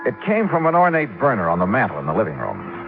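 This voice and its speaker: A tinny old radio recording with music carries a male investigator from a 1950s detective serial. He is businesslike and wry, polite with people, and his pitch moves up and down freely. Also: huge pitch swings, fast, creaky, full character voice. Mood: brisk, matter-of-fact. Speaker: lead actor and narrator. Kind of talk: 1950s radio detective drama, narrated and acted.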